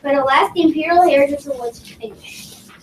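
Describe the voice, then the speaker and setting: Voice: high voice, fairly even intonation, normal speed. A young child reading a script aloud carefully, as a student performer in a school reader's theater. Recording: echoey noisy room, distant microphone.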